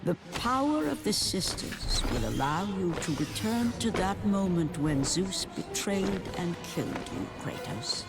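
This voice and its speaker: An ancient Titan guide telling an epic game story. The delivery is slow, grave and portentous, a little high for a male voice, over very loud music. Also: very animated, gravelly, exaggerated voice. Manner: solemn, portentous, deliberate. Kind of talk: epic scripted game narration.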